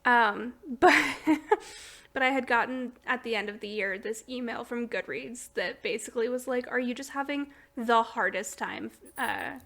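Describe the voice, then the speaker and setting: A woman's voice: fairly high pitch, moderate pace, fairly bright tone. A woman hosting a podcast in a casual book chat, talking informally and chattily and laughing readily. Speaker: podcast host.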